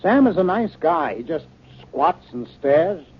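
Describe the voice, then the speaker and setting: An actor playing an eager, impatient scientist husband in a 1950s radio drama, brisk and condescending. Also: male voice, a little high, very animated, slight background noise. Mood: enthusiastic, teasing. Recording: muffled 1950 radio recording.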